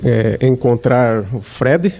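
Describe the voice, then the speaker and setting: A Brazilian man with a warm, dark voice gives a lecture in short, measured phrases, pausing with filler sounds. His voice sits at an ordinary pitch. A faint hum runs underneath. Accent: Brazilian Portuguese. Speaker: guest speaker. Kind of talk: lecture with consecutive interpreting.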